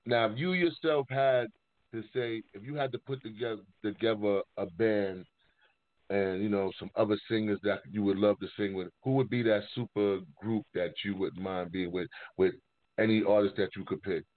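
A man hosting an informal music interview, halting and often rephrasing his questions mid-sentence. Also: lowish voice, steady tone, dry recording. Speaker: interviewer, music show host. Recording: muffled phone-like sound.

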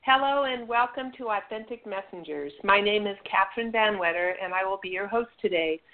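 Woman, radio show host, interview introduction, medium pitch, thin phone-like sound. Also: a little fast. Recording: narrow tinny phone-line sound.

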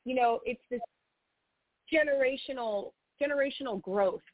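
A woman's voice: sits high, normal speed, no room sound. Earnest woman on a tinny line. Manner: earnest, heartfelt, many "you know" fillers. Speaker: singer-songwriter guest. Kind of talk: casual interview chat. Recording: tinny phone-like line, dry.

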